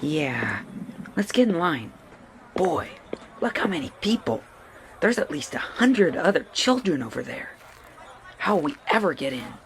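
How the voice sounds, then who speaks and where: Woman playing an earnest, low-voiced young boy. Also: sing-song, measured pace, long pauses, slight background noise, full character voice. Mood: polite, unsure. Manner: thinks aloud, questioning, polite. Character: bright, modest, earnest orphan boy taking a test. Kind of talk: audio drama acting.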